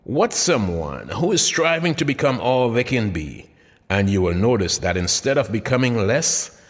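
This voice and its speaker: A man reading his own book aloud in a sermon-like cadence, phrases rising then dropping low, with occasional "uh" fillers. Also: big pitch swings, moderate pace, long reverb tail. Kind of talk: audiobook narration.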